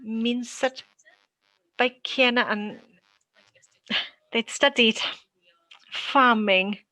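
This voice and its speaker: A woman from Wales giving a prepared conference talk as a panelist, measured and deliberate, pausing often. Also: a little high, fairly even intonation, pushed and bright, poor audio. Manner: measured and deliberate, with frequent pauses.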